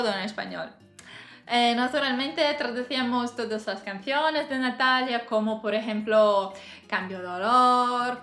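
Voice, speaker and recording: Give me a chatty woman vlogger with a bright, sharp voice and lots of small laughs. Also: a little high. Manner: chatty, laughs often, hesitant fillers. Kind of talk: casual talk to camera. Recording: roomy echo, faint music behind.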